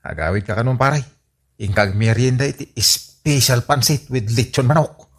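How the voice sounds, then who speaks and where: A man's voice: medium pitch, normal speed, fairly bright tone, husky. A man playing a neighbor who teases his friend in an Ilocano radio drama. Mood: teasing. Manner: joking, ribbing. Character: teasing neighbor Map.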